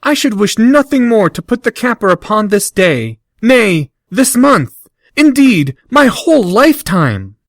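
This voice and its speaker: A young schoolboy pours out flowery, exaggerated flattery in a voice pitched far up, as a man puts him on. The voice swings widely and sounds close and dry, with no room around it. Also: unhurried, no echo, heavily acted. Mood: mock-groveling. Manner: over-the-top flattery in a high voice. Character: young boy, mock-groveling, theatrically flowery.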